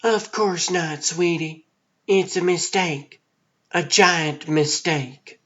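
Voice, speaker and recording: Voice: medium pitch, slow, a bit bright, dull audio. A performer voicing a bitter, fed-up suburban wife in a comic-book dub, with a scornful, sarcastic manner. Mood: bitter, subdued.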